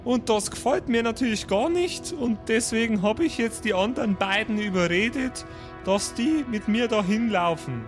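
A man's voice: high voice, expressive, normal speed, soft background music. A man, playing a tabletop role-play in casual improvised chat.

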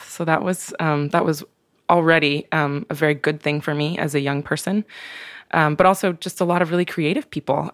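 Low-voiced young woman, chatty guest. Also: dry recording, clean studio sound. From Oregon, USA. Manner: chatty, laughs easily, many ums and restarts. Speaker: podcast guest, wine brand manager. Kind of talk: casual interview chat.

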